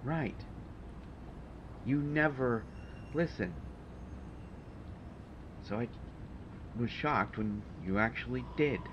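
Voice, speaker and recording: A man's voice: mid-range voice, takes it slowly, long pauses, lightly acted. A man playing a testy, sardonic supervillain genius in an audio roleplay, speaking in a dry, haughty, hesitant way with exasperation. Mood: grudging gratitude. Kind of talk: intimate audio roleplay monologue to a listener. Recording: dull, noisy home recording.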